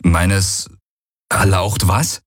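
A man's voice: deep voice, fairly even intonation, very slow, dry recording, clean studio sound, full character voice. A man voicing a bewildered, easygoing male visitor in an audiobook, with a colloquial, awkwardly friendly manner. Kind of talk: audiobook character acting.

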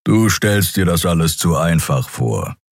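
A man's voice: deep voice, big pitch swings, a little slow, no room sound, clean studio sound, full character voice. A man voicing a grumpy, sceptical male creature in a fantasy audiobook, with a growling, grumbling delivery. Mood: grumpy, dismissive.

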